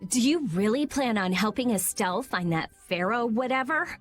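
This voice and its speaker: A skeptical teenage girl in a video game, impatient and sarcastic. Her voice is fairly high and critical, with faint music behind it. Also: animated, moderate pace. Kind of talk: animated RPG video game dub. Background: faint background music.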